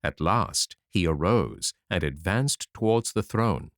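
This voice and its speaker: A man narrating a fairy tale audiobook in a dramatic, expressive reading. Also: sits low, slow pace, dry recording. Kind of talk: scripted storybook narration. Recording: dry close audiobook mic.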